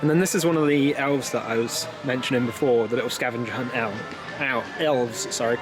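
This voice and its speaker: A man's voice: medium pitch, fairly even intonation, a little fast. A young British man chatting casually to camera as a vlogger, outdoors on a camera held at arm's length. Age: young adult. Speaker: theme park vlogger. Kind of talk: casual vlog chat to camera. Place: outdoors in a theme park. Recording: outdoor vlog with music behind.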